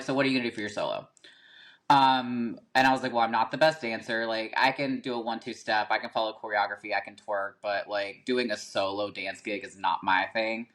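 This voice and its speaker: A man's voice: mid-range voice, fairly bright tone. Chatty man, lots of fillers. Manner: chatty, filler-heavy, frequent "like" and "um". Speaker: podcast guest, rapper. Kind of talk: casual interview storytelling.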